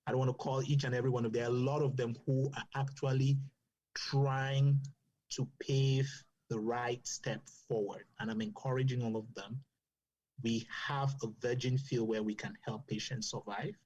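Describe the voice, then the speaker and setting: A man's voice: medium pitch, steady tone, moderate pace, darkish tone. Earnest man, lo-fi sound. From Cameroon. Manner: earnest, advocating, with frequent restarts. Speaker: doctor and guest. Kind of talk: earnest explanatory podcast talk.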